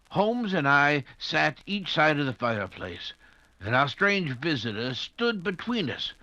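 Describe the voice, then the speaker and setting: An actor playing a genial, flustered English doctor who tells stories hesitantly in the manner of 1940s radio drama. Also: male voice, fairly high pitch, bright, forceful tone, no echo, muffled recording, heavily acted. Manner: hesitant, fussy, avuncular. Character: genial, flustered English doctor narrating his detective friend's adventures.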